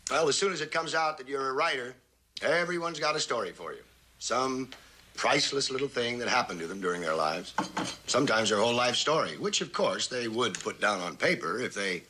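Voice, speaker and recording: An actor playing a cocky, wisecracking novelist and charmer in a jaunty, teasing, theatrical style of old television drama. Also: male voice, medium pitch, animated, fairly quick, bright, forceful tone. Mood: breezy, boastful. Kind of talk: 1960s television drama acting. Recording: old TV soundtrack, faint hiss.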